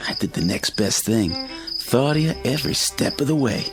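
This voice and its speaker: A man playing a young, smooth-talking country sweetheart with an Appalachian accent in a radio drama. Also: medium pitch, lively intonation, normal speed, music in the background. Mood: tender, teasing. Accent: Southern US Appalachian.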